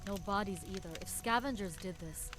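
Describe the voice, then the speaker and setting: An actress as a battle-hardened woman commander in a strategy game. She is determined and terse, giving clipped orders at a middle pitch, with music behind her. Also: fairly quick, natural voice. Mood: focused, wary. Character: tough, determined woman resistance commander in a post-apocalyptic war. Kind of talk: strategy game hero dialogue and unit barks.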